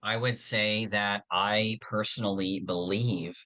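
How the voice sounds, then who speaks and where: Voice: deep voice, fairly even intonation, slow, bright, forceful tone. A podcast host talking casually through fan theories in an earnest, nerdy, somewhat hesitant way.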